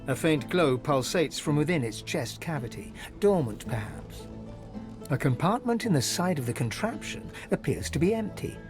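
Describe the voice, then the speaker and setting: Solemn storyteller, highish pitch, lively intonation, measured, dramatic, fantasy game narration. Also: male voice, over music.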